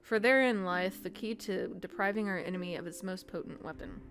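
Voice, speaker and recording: Casting: woman as wary game strategist, formal and analytical delivery over clear music. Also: on the low side, fairly quick, a bit bright. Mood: serious, wary. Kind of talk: reading game dialogue aloud.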